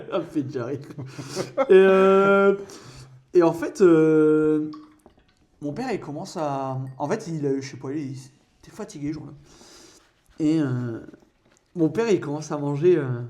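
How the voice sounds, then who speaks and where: A young man co-hosting a podcast, telling a story in a halting way with many fillers and pauses. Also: fairly high pitch, darkish tone. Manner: hesitant, filler-heavy storytelling. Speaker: podcast co-host. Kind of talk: casual chat while painting.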